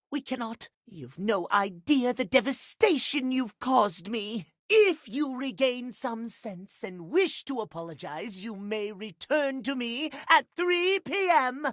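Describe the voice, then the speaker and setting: Prim haughty game timekeeper, easily offended, theatrical, very animated pitch, formal, close dry sound. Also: male voice, dry recording, exaggerated voice. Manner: pompous, fussy, theatrical, old-fashioned formal phrasing. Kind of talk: video game character voice acting.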